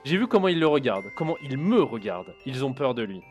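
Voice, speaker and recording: A man's voice: mid-range voice, big pitch swings, fast talker, muffled recording, soft background music. A man streaming a video game, joking casually and reading in-game text aloud. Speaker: gaming streamer. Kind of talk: casual let's-play commentary.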